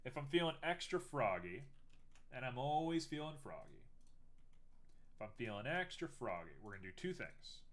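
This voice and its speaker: A man's voice: mid-range voice, sing-song, normal speed. A young man streaming live while making art, chatting casually and teasing himself as he works. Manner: chatty, self-mocking, thinks aloud while working.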